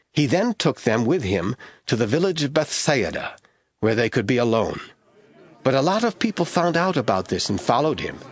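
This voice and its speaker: Animated male narrator. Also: medium pitch, slightly rough. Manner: expressive storytelling. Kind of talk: scripted dramatized Bible narration.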